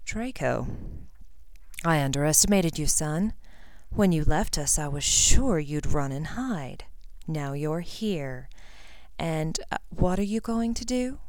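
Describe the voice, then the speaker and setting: A woman voicing a cold, cruel older wizard villain in a fantasy story, with slow, mocking menace. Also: sits low, animated, darkish tone, dry recording. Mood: mocking challenge.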